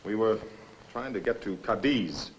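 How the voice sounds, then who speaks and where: An actor playing a steady, practical, middle-aged merchant seaman in a 1960s film, speaking tersely. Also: fairly low pitch, unhurried, a little hiss or hum, low-quality recording. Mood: businesslike. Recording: 1960s film soundtrack, music underneath.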